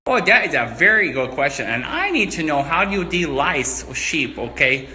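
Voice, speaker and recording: Guy doing a goofy eager sheep herder, folksy n bright, comic fairy tale, bit of background noise. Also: on the high side, moderate pace, exaggerated voice. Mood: earnest, fussy. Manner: folksy, excitable, chatty. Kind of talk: comic improvised storytelling.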